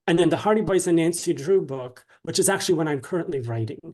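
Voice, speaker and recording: A man answering interview questions casually, in a hesitant, halting and polite way. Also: on the high side, animated, darkish tone. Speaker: interview guest, dramatist and author.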